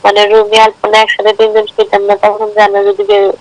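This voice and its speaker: A woman's voice: medium pitch, completely flat delivery, brisk. A woman with a Bangladeshi accent chatting casually and intimately on a phone call. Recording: noisy phone call recording.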